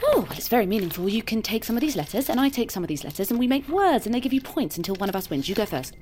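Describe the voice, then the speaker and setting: Needy, animated, stammering brittle woman. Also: fairly high pitch, very fast, a little hiss or hum. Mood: condescending, strained. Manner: hesitant, stammering, repeating herself anxiously. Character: Lydia, needy, brittle, unstable former estate agent woman. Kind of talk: naturalistic audio fiction acting.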